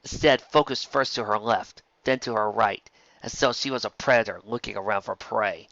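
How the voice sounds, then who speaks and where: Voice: mid-range voice, moderate pace, creaky, a bit bright, dry recording, muffled recording. A narrator reading scripted fan fiction as an audiobook, in a storytelling style.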